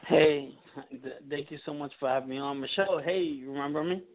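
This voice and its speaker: A man's voice: fairly high pitch, brisk. A man calling in to a talk show, eager and tumbling over his words, repeating himself as he goes. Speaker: caller. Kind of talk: call-in chat. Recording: tinny phone line.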